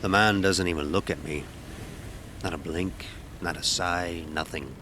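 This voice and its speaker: A man playing a stern, gruff ship's officer who tells a sea tale in commanding, archaic nautical speech for an audio horror drama. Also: sits low, steady tone, measured pace, pushed and bright, a little hiss or hum. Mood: grave, musing. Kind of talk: first-person dramatic storytelling, audio horror.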